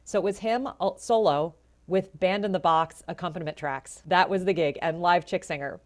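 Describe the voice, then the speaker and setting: A woman in her forties with a low voice, telling her story in a chatty, animated way as an interview guest. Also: normal speed. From Florida, USA. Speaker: jazz vocalist and educator, guest.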